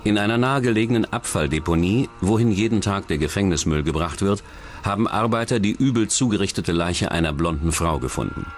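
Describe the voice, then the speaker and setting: A man's voice: deep voice, animated, a little fast, slight background noise. A man reading a scripted true-crime documentary narration as its narrator. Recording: music bed under the voice. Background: background music.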